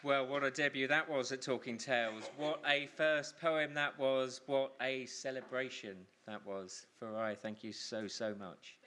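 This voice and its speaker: A man's voice: medium pitch, fairly even intonation, measured pace, hardly any pauses, pushed and bright, a little hiss or hum. A man compering a live storytelling event, introducing acts with emphatic, repeated phrases.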